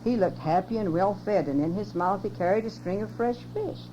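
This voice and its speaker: A woman's voice: mid-range voice, a little fast. Older woman reading a bedtime story. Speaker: grandmother reading to family. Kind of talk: reading a children's story aloud. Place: home. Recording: lo-fi home cassette tape with hum.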